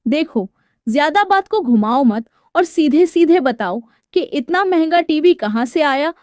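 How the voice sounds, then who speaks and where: A woman's voice: high-pitched, animated, measured pace, darkish tone, no echo, studio quality, pitched above the performer's own voice. A woman voicing a suspicious working-class husband in a dramatised story, pressing and questioning. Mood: demanding. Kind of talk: dramatised Hindi moral storytelling.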